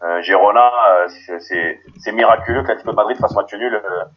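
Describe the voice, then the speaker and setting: A man from Paris, a guest on a football podcast, answering in a halting way with many restarts, heard over a remote call. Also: on the low side, lo-fi sound. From Paris, France.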